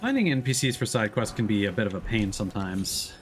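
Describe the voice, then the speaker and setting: A man's voice: lowish voice, very animated, brisk, music in the background. A man giving casual let's play commentary as a game streamer, musing and thinking aloud. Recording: clear background noise behind voice.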